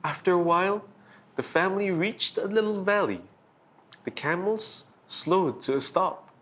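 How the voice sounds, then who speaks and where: A man narrating a scripted bedtime story for children as its host, with expressive, dramatic delivery. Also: high voice, unhurried.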